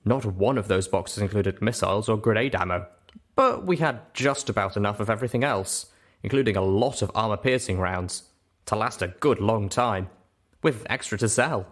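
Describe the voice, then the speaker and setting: Male audiobook narrator, first-person storytelling, slightly low register. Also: moderate pace.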